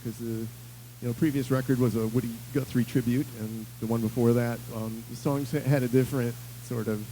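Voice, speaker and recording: A man from upstate New York talks about producing records in a slow, thoughtful way, full of small hesitations. His voice is dark and mellow. A faint hum sits behind it. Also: medium pitch, fairly even intonation, rough recording. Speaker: producer and musician. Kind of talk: casual interview.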